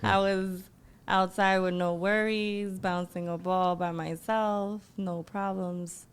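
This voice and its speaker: A woman from Colombia, a podcast guest, giving relaxed, brief answers in casual conversation. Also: mid-range voice, takes it slowly, clear, resonant tone. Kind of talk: casual interview chat.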